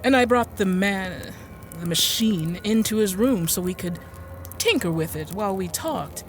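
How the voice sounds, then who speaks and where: An actress playing a stern, uneasy woman recounting a dark tale in an audio drama, with a hesitant, insistent delivery. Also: mid-range voice, expressive, measured pace, soft background music, close to an everyday voice. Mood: wistful, recounting. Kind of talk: audio drama monologue and dialogue.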